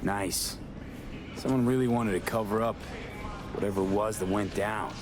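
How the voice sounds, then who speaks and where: An actor playing a laconic, observant mercenary investigator in a video game, speaking tersely and low-key. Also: male voice, steady tone, moderate pace, over music, natural voice. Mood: focused, low-key. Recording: clear background noise.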